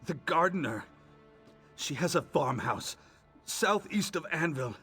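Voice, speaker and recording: A husky, bitter dying merchant. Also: male voice, a little high, animated, measured pace, sharp tone, faint music behind, full character voice. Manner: bitter and accusing. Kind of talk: AI-voiced game dialogue.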